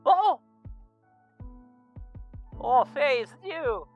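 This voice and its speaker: A man's voice: very high-pitched, big pitch swings, very slow, highs cut off, faint music behind, pitched above the performer's own voice, exaggerated voice. A man voicing a studious, nervous young male dinosaur who jumps at every surprise and speaks in flustered exclamations. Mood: startled. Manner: jumpy, flustered, rising exclamations. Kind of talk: live visual novel reading.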